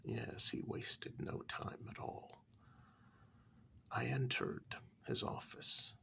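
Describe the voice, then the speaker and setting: A man in a soft-spoken ASMR story role-play, narrating a horror tale in a breathy, gravelly half-whisper as an asylum director, pausing between short phrases. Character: asylum director calmly recounting eerie past events. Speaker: narrator telling a horror tale in first person.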